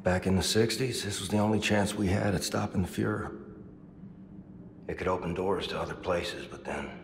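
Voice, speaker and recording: An actor playing a guilt-ridden middle-aged soldier father in a video game, speaking haltingly and gravely. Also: low voice, slight background noise. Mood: grim, guarded. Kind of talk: dramatic video game cutscene acting. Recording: cavernous reverb, loud score underneath.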